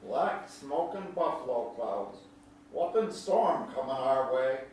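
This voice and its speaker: A man's voice: medium pitch, expressive, slow pace, darkish tone. A man playing a folksy, protective older country fisherman with a drawling rural American accent in a staged play reading. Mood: folksy, steady. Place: indoor venue, staged reading. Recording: very echoey room from far off, noisy.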